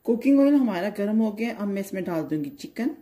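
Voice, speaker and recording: Calm woman narrating a recipe. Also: medium pitch, a little fast. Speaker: cooking channel host. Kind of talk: step-by-step recipe voice-over.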